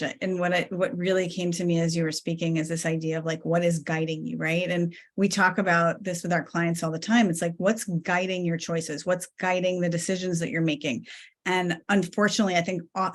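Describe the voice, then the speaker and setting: A woman in her thirties from Iran, hosting a video call interview in an affirming, conversational way with many "like"s. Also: on the low side. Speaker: podcast host. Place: home room, indoors.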